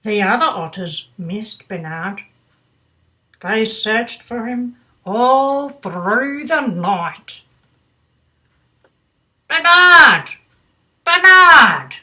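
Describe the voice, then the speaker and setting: A woman's voice: medium pitch, extremely slow, a bit bright. An older woman reading a children's bedtime story aloud with doting, grandmotherly asides. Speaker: storybook reader.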